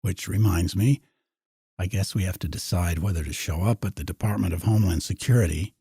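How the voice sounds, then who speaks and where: Low, husky man in dry conversation. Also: brisk, dark tone, no room sound. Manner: wry, musing. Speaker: podcast host. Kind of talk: conversational podcast discussion.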